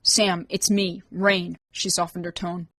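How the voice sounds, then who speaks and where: A woman's voice: fairly low pitch, level tone, unhurried, fairly bright tone, dry recording. Brief: hurt defiant young woman, read by a woman narrator, earnest pleading, dry read. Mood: gentle coaxing.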